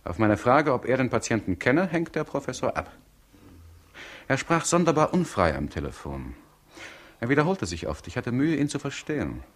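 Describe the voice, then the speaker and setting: A witty hospital doctor from a 1960s radio crime drama. His delivery swings widely in pitch, with ornate, mocking turns of phrase and a theatrical air. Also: very animated, moderate pace. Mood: pensive. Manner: flowery, mocking, theatrical speech.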